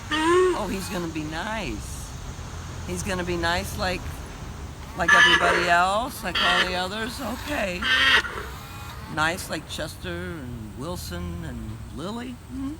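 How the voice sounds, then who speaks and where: A man's voice: high voice, very animated, slow. A man hosting a storytime, chatting casually to a small child and viewers outdoors amid wind and street construction. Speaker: storytime host. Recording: windy outdoor, loud street construction noise. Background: wind, construction, vehicle sounds.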